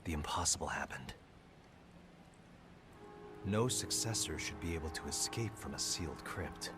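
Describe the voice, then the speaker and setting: A grim, weary man with a deep, low voice in a dark video game. He is terse and brooding, utterly serious. Loud music runs beneath him. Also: lively intonation, moderate pace. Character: grim, weary male watcher of dangerous successors. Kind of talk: dramatic video game voice acting.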